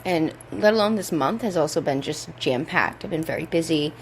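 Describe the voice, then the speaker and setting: A young woman with a low voice, still hoarse from a cold. She rambles in a relaxed, easygoing way and swears freely. Faint noise hums behind her. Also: a little fast, poor audio. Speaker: podcast host. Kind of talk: casual chat. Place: indoors at home.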